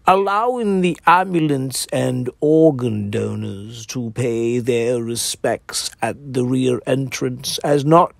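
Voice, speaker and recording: A man reading a darkly comic, macabre story in a theatrical, deliberate manner, as the author narrating his own work. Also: on the high side, gravelly, dry recording. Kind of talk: scripted dark-comedy story narration.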